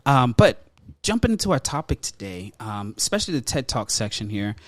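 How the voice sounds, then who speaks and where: A man hosts a podcast in an upbeat, casual way, using plenty of slang. His voice sits at a middle pitch. The sound is close and dry, with little room on it. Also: big pitch swings, fairly quick, no room sound. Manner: informal, slangy speech. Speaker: podcast host. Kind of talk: upbeat casual podcast banter and topic rundown.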